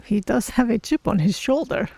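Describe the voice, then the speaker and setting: A man voicing a proud, courteous cat-person game character with formal, measured wording while streaming. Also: extremely high pitch, lively intonation, vocal fry, darkish tone, no room sound, heavily acted. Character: proud, courteous Khajiit cat-person adventurer, third-person speech. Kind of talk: in-character game role-play commentary.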